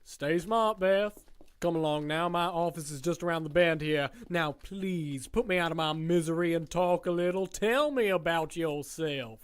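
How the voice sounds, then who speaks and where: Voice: mid-range voice, moderate pace, clean tone, natural voice. An actor voicing a smug, folksy, sinister warden in an audio drama, glib and mock-polite with a theatrical drawl. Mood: chatty, teasing. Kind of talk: scripted audio drama acting.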